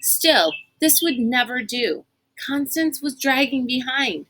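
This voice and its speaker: A woman reading a storybook aloud as an expressive narrator. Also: high-pitched, takes it slowly, slight background noise, rough recording.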